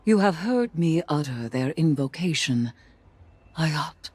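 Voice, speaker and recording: A woman playing a grave, haunted mystic of dark magic in a video game, husky and breathy, with solemn, deliberate phrasing. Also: low voice, heavily acted. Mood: solemn, reverent. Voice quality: husky, breathy, often near a whisper. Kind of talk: dramatic video game monologue.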